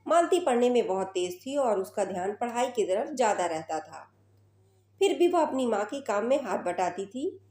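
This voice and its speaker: Female storyteller for scripted narration, soft dark tone, slightly high pitch, roomy reverberant sound. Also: very animated, moderate pace. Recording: roomy, echoing voice recording.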